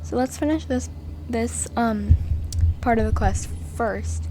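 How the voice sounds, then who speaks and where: A woman with a fairly high-pitched voice chats casually as she plays a video game. She comments off the cuff for her viewers, with faint game noise in the background. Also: takes it slowly, mellow, low-quality recording. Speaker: gaming streamer. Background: video game sounds.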